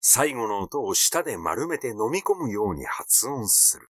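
A man reading literary fiction aloud as a narrator, in a measured storytelling delivery. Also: mid-range voice, sing-song, a bit bright, dry recording, studio quality. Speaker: audiobook narrator.

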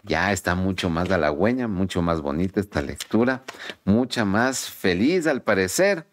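A man's voice: deep voice, lively intonation, normal speed. A middle-aged man giving a tarot reading to camera in a home study, speaking in an emphatic, repetitive, encouraging pep-talk manner. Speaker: tarot reader. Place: home study with bookshelves, indoors.

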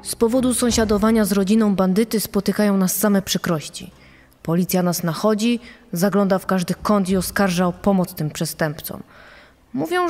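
A woman's voice: medium pitch, darkish tone, lightly acted. An actress playing an aggrieved village woman giving a complaining testimony in a true-crime re-enactment. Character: aggrieved village neighbour of a bandit's family. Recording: long hall reverb.

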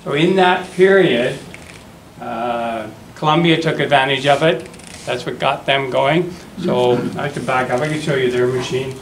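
A man's voice: medium pitch, normal speed. A man in his fifties presenting an informal history lecture in a plain-spoken, explanatory way. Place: classroom with seated audience. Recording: roomy echo, faint noise.

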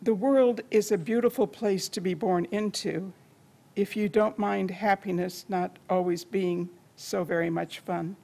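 Creaky-voiced older woman reading poetry. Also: mid-range voice, steady tone, measured pace, mellow. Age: older, around 70s. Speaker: poetry reader. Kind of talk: reading a poem aloud to a library audience. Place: library meeting room at a lectern.

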